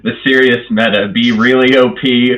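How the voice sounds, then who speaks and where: A man's voice: mid-range voice, level tone, normal speed, a bit bright, muffled recording, soft background music. A man chatting casually as a player in a tabletop roleplaying game, describing what his character does.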